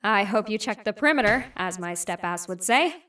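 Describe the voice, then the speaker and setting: A woman's voice: mid-range voice, animated, a little fast, pushed and bright, a little hiss or hum. A woman voicing a brash, foul-mouthed punk teenage girl who mocks and provokes. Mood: mocking. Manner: cocky, mocking, foul-mouthed. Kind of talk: fan animation voice acting.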